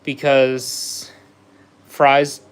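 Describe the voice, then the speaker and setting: A man's voice: medium pitch, completely flat delivery, very slow, clean tone. A man chatting casually with viewers while building a Lego set on a livestream, easygoing and laughing often. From USA. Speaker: livestream host.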